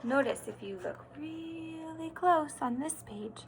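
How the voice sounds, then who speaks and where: A woman teacher doing an expressive read-aloud for young children, stressing words and pausing to explain and ask simple questions. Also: high-pitched, slight background noise. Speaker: kindergarten teacher.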